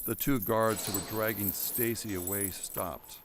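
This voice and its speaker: A man's voice: on the low side, fairly even intonation, measured pace, noisy background. A man reading scripted narration for an audio drama in a dramatic storytelling style.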